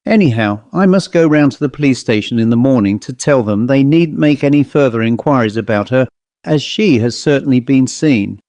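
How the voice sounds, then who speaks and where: A man voicing a perplexed, brisk upper-class gentleman in an audiobook story, speaking in an educated, musing way. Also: mid-range voice, animated, no room sound, natural voice. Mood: puzzled, musing. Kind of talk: audiobook character voice.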